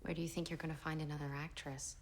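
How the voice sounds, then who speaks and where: A woman's voice: low-pitched, little pitch movement, fast talker, background noise. An actress playing a timid, modest young 1920s woman who talks hesitantly and stammers. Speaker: actor. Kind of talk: period TV drama acting.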